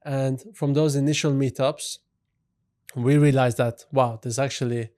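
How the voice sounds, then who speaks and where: Soft-spoken Swedish-raised man telling his story. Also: mid-range voice, steady tone, unhurried. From Sweden. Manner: soft-spoken, easygoing, with frequent "you know" fillers. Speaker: guest, company CEO. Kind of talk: relaxed storytelling in an interview.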